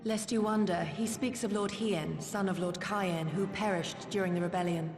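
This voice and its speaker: An actress playing a courteous, loyal female resistance agent in a video game, speaking measured, formal, archaic English. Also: lowish voice, fairly even intonation, close to an everyday voice. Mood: solemn. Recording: echoey hall reverb under clear music.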